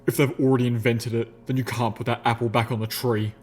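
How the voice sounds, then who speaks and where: Male narrator doing a soldier stallion in a storybook, husky and calm, talks slow and steady. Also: lightly acted. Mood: reasoning. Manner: slow, reasonable, low-voiced. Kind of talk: audiobook reading.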